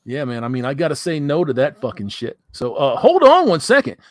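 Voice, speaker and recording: A man's voice: on the high side, wildly swooping pitch, fairly quick, no room sound, studio quality. A middle-aged man hosting a casual call-in podcast, blunt and profane, laughing often. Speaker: podcast host.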